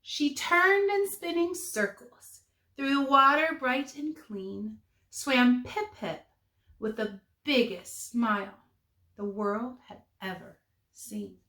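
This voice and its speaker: A woman reading a children's storybook aloud with expressive, emphatic phrasing for young listeners. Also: sits high, takes it slowly, roomy sound.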